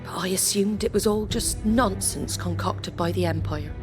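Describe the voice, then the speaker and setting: A woman's voice: medium pitch, moderate pace, music in the background, natural voice. An actress playing a tough-minded, guilt-ridden woman leader in a fantasy game, with a plain-spoken, folksy, wry way of talking. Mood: guilty, bitter. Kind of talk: dramatic fantasy game dialogue.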